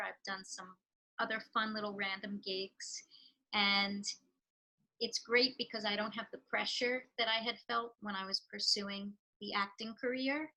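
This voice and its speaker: Interview guest: woman with a New York accent, bright and forceful tone, reflective and earnest. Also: mid-range voice, rough recording. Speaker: real estate professional. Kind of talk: interview answers.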